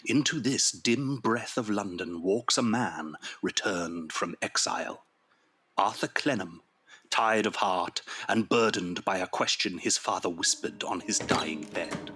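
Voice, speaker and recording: A man narrating an audio drama in a solemn, measured storytelling style. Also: low-pitched, pushed and bright, breathy edge, faint music behind.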